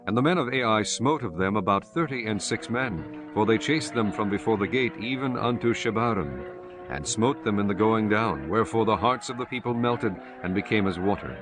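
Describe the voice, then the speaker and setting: Low-voiced man narrating scripture solemnly. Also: animated. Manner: solemn, measured. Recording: music behind the voice.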